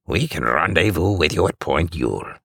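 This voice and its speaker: A man voicing a businesslike male Christmas elf with a thick Scottish accent and a husky voice in a story. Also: deep voice, lively intonation, moderate pace, a bit bright, no room sound, clean studio sound, voice pushed up, full character voice.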